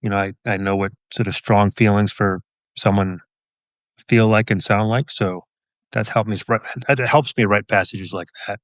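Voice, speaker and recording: Low-voiced, understated middle-aged man. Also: steady tone, moderate pace, no echo. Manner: modest, understated, dryly humorous. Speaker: podcast guest, police officer and author. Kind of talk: casual interview answers.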